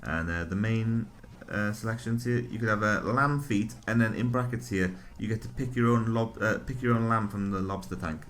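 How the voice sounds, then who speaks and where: A man co-hosting a podcast, reading absurd items in a flat, deadpan way. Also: fairly low pitch, moderate pace, hardly any pauses. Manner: deadpan, reads absurd menu items flatly. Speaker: podcast co-host. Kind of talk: deadpan comedic chat.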